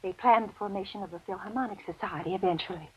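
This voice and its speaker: A woman's voice: mid-range voice, quick pace, darkish tone, slightly rough. An actress in vintage radio drama playing a tense, proper, guarded woman who is easily rattled, speaking with prim, nervous insistence. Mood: composed narration. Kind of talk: 1940s-50s radio drama acting, dialogue and narration. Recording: dull vintage radio recording, faint music.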